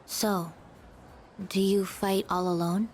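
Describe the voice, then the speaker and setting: A woman voicing an aloof, quietly curious, melancholy young woman in a video game, speaking in a soft, detached, deliberate way. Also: mid-range voice, flat delivery, soft background music, close to an everyday voice. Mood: curious, earnest. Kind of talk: English video game voice acting.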